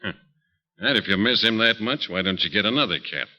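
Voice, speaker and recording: An actor playing a polite, slightly hesitant innkeeper in a 1940s radio drama. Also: male voice, on the low side, expressive, brisk, sharp tone. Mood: polite concern. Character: plain-spoken, deferential male innkeeper. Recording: dull old 1940s radio broadcast.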